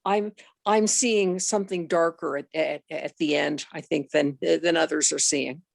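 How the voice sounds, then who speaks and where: A woman giving her reading of a book in a group discussion, tentative and halting. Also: low-pitched, steady tone, creaky, no room sound, clean studio sound. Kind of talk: reflective opinion in group discussion.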